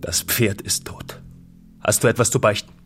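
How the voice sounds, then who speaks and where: Husky, embittered farmer, low accusing voice. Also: male voice, fairly even intonation, moderate pace, a bit bright, soft background music. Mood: grim suspicion. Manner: formal, declamatory, accusing. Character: embittered, suspicious male farmer accusing his household. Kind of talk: German literary radio drama acting.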